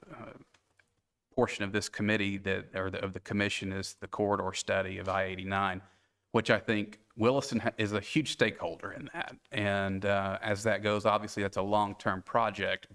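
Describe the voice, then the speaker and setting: Earnest tennessee guy, real low voice, kinda flat, stumbles and restarts a lot. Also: smooth. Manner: earnest, halting, many restarts and fillers. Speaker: board applicant. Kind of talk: interview for a board appointment.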